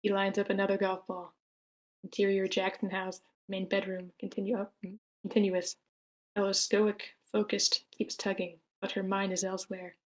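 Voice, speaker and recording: Woman narrating screenplay action, medium pitch. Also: monotone, normal speed, rough recording. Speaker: stage directions narrator.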